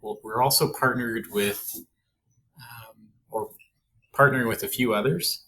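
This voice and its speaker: A man's voice: medium pitch, unhurried, pushed and bright, a little hiss or hum, poor audio. A man from Canada hosting a podcast interview, talking in a goofy, rambling way and laughing often. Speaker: podcast host. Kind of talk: casual interview.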